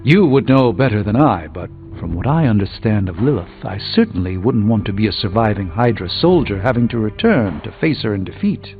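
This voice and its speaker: Proud male sorcerer, formal, measured, wistful, theatrical game dialogue, muffled audio, music behind. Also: fairly low pitch, lively intonation. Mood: pompous, approving. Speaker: video game voice actor. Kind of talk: dramatic video game dialogue.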